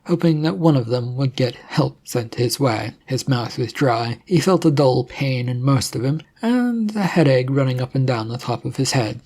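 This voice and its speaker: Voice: medium pitch, darkish tone, husky. A narrator reading scripted fiction aloud as a storyteller.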